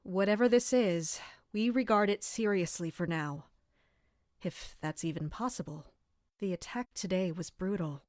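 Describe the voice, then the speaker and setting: A woman playing a dutiful, serious captain of an elite armored guard in an audio drama, speaking in a measured way. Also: fairly low pitch, darkish tone, no echo, clean studio sound, close to an everyday voice. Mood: grave. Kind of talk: audio drama acting with first-person narration.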